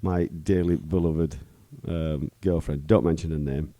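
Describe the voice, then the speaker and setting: A man's voice: extremely low pitch, a little slow, dry recording, studio quality. A middle-aged man co-hosting a podcast in crude casual banter, teasing and swearing. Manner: teasing, swearing, lively laughs. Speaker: podcast co-host.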